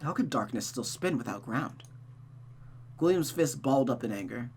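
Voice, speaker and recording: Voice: medium pitch, normal speed, a little hiss or hum. A streamer reading a visual novel aloud expressively, with dramatic narration and casual asides. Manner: expressive, often restarts misread sentences.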